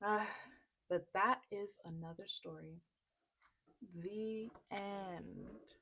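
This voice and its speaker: A woman reading a children's story aloud expressively, in an unhurried, deliberate way. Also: medium pitch, gravelly, bright, forceful tone.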